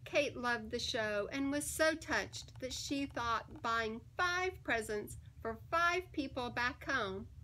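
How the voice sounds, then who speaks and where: A woman reading a children's picture book aloud in an expressive, deliberate and emphatic storytelling manner. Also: high voice, slight background noise, rough recording. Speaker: story time reader.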